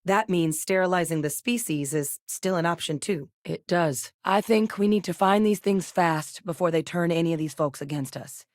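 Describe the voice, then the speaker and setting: A woman voicing a seasoned, earnest female soldier and xenobiologist in studio video game dialogue, measured and sincere. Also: lowish voice, no echo, close to an everyday voice. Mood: steady. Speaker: game character voice actor. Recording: dry studio recording.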